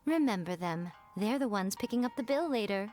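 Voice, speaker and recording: A woman playing a sly, elegant, self-indulgent lady in an anime video game, with a smug, teasing, drawn-out delivery. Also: mid-range voice, expressive, a little mellow, soft background music, natural voice. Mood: pleased, playful.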